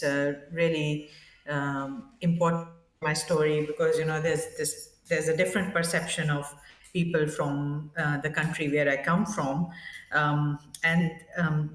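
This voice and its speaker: A woman's voice: low-pitched, distant mic. Woman from Pakistan, hesitant, roomy. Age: 30s. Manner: hesitant, many fillers and restarts. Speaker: guest. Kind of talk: podcast interview.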